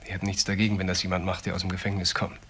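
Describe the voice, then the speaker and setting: An actor playing a subdued young ex-convict in a crime radio play, giving halting, simple answers. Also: male voice, deep voice, completely flat delivery, fairly bright tone, a little hiss or hum. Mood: hesitant. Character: subdued, hesitant young male ex-convict suspect. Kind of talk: German crime radio drama dialogue.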